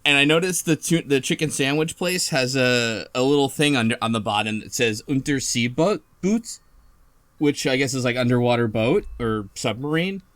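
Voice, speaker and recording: A man's voice: medium pitch, lively intonation, moderate pace, fairly bright tone, dry recording. A man hosting a casual group chat about a game, rambling and easily sidetracked. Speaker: podcast host. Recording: music behind the voice. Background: music.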